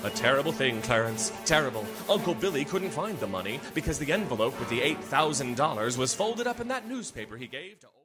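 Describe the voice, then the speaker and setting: A man's voice: mid-range voice, very animated, brisk, hardly any pauses, lightly acted. A man acting a peppy, folksy 1940s-style radio announcer and storyteller in a live radio play. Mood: storytelling. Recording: live stage with music underneath.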